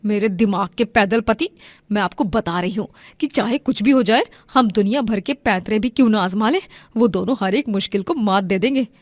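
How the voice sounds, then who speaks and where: A woman's voice: a little high, dry recording. Lady doing a mean plotting village wife for a story, scornful n emphatic, really dry sound. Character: cruel scheming village wife plotting murder.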